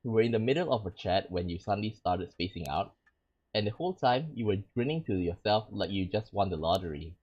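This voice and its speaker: A skeptical but curious friend from a horror visual novel, read aloud by a man. The voice is low and husky and moves lively up and down, with a plain, conversational delivery. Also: a little fast, highs cut off.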